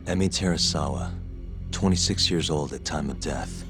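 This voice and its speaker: A brooding male detective from a game cutscene, with an extremely low voice. He speaks in a grave, terse, deliberate way, weighed down by guilt, as music plays clearly behind him. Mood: grim, clinical. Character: brooding guilt-ridden male detective and former defense lawyer. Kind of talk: video game cutscene acting.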